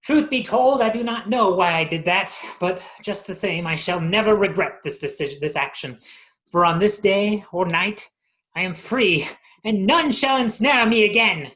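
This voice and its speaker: A woman's voice: medium pitch, moderate pace, fairly bright tone, natural voice. Woman playing earnest, melodramatic castaway underling. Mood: defiant. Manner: theatrical, declamatory. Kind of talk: absurdist radio play acting. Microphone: video call.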